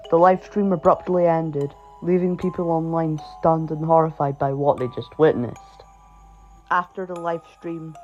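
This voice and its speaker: A man with a slightly high voice delivers scripted horror-story narration for a video. The recording is somewhat dull and lo-fi. Music plays in the background beneath his narration. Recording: dull, lo-fi voice track.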